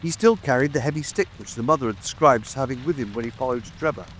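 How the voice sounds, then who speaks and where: A man's voice: mid-range voice, lively intonation, fast talker, a bit bright, a little hiss or hum, close to an everyday voice. A man playing a self-satisfied, officious police detective, formal and methodical, in a dramatic audiobook. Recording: faint rain ambience behind.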